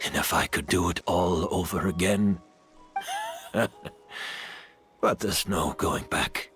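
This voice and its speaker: An actor playing a defeated, unrepentant older master perfumer in a video game, deliberate, theatrical and brooding. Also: male voice, low-pitched. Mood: defiant, resigned. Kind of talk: dramatic video game acting. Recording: background music under the voice.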